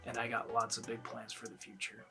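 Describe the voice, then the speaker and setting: A congested young man with a bright, sharp-edged voice rambles casually to his viewers. He sounds stuffed up from a head cold, talking in a loose, chatty way. Soft music plays faintly underneath. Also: medium pitch, completely flat delivery, brisk, gravelly. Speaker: reaction video host. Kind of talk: casual chat to camera.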